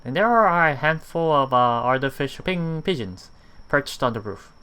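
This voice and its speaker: Bright-voiced man reading haltingly, animated. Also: mid-range voice, clear, resonant tone. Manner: halting, often repeating and correcting words. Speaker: let's play host. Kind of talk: reading a visual novel aloud with casual commentary.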